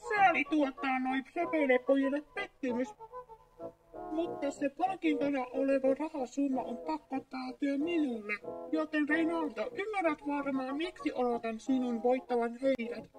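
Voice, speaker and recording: Greedy, scheming cartoon madam, high voice, acted by a woman, slightly distant mic with music behind. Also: natural voice. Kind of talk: cartoon fandub acting.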